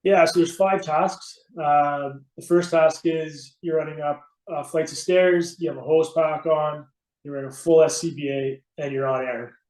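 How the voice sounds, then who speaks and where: A man's voice: on the high side, steady tone, moderate pace, a little mellow. A middle-aged man from Canada talking casually as an interview guest about his own experience. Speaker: podcast guest, veteran firefighter and competitor. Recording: roomy, echoey sound.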